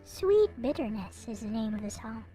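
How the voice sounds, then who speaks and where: A woman's voice: on the high side, big pitch swings, moderate pace, warm tone, soft background music, rough recording. A woman livestreamer chatting casually to her viewers in a hesitant, rambling way. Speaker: live streamer, host.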